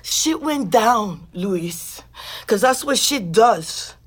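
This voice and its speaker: High-voiced, bitter, streetwise woman. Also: expressive, drawn-out words, full character voice. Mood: resigned, explaining. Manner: streetwise, slangy, profane, emphatic. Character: bitter, foul-mouthed woman just out of sixteen years in prison. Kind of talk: naturalistic scripted audio drama acting.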